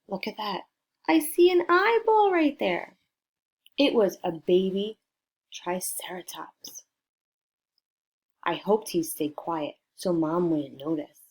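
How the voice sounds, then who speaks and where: Slow-paced woman reading a picture book aloud. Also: mid-range voice, slightly rough. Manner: expressive, prompting listeners with questions. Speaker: storybook reader for children. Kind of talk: read-aloud of a picture book with questions to young listeners.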